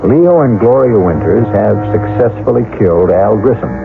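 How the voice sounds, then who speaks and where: Man, radio mystery host, measured dramatic narration, muffled broadcast sound, music behind. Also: lowish voice, animated, gravelly.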